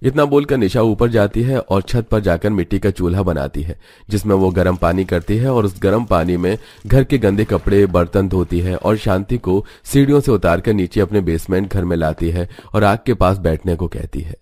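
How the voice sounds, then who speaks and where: Low-pitched male storybook narrator, close and dry. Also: moderate pace, a little mellow, no room sound.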